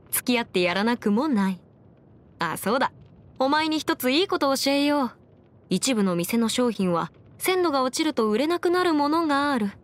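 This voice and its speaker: A woman voicing a confident, bookish young female legal expert in a video game, speaking in a self-assured, formal, lecturing way. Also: high voice. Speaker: video game character voice actor. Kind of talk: scripted anime-style game character monologue.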